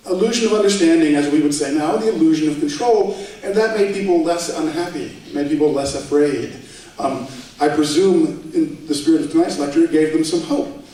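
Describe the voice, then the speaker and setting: A man with a fairly high voice lectures at a debate, talking in a conversational way and dropping dry asides. His voice comes from a distance and rings with heavy hall echo. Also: darkish tone. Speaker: debate speaker. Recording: echoey hall, voice from far off.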